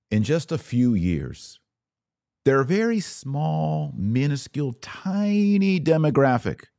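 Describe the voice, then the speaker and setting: An American man hosting a political commentary podcast, speaking in a deliberate, emphatic monologue that leans on repeated words for stress. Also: medium pitch, vocal fry, mellow. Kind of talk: opinion monologue to camera.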